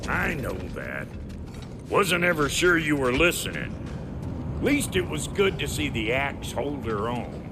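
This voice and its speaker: An actor voicing a cranky, foul-mouthed dwarf blacksmith in a video game, gruff and teasing, with a folksy American accent and backwoods grammar. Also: male voice, mid-range voice, huge pitch swings, unhurried, background noise. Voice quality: gravelly. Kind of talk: video game character acting.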